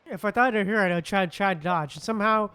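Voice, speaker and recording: A woman's voice: mid-range voice, fast, a bit bright, clear, resonant tone, no room sound. A woman streaming a game with casual commentary in a giggly, slangy manner.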